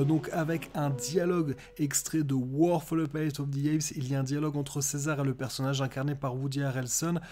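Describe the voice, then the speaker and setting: A man's voice: mid-range voice, dark tone. A man hosting a solo podcast outdoors, talking casually and rambling with many fillers. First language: French. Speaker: podcast host.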